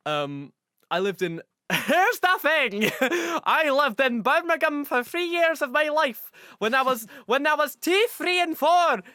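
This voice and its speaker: Irish podcast co-host, high lively voice, dry close mic, jokey. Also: male voice, measured pace, no room sound. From Ireland. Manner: jokey, bursts into loud silly voices. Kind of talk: casual podcast chat.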